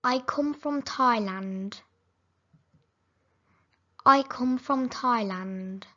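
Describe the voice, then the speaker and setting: A woman reading simple English phrases for learners, saying each sentence slowly and clearly, then repeating it. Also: fairly high pitch, fairly bright tone, highs cut off. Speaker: English teacher.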